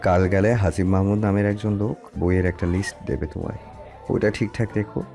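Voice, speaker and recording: A man playing a protective husband in an audio story, speaking softly with gentle authority as he instructs his wife. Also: low voice, fairly even intonation, a little fast, gravelly, soft background music. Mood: calm, instructing. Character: soft-spoken, protective, older husband instructing young wife. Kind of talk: Bengali audio story acting.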